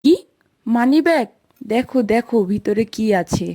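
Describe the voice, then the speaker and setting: A woman voicing an impulsive, easily tempted young schoolgirl in an Islamic moral cartoon, with expressive phrasing. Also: a little high, normal speed, natural voice. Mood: excited, tempted.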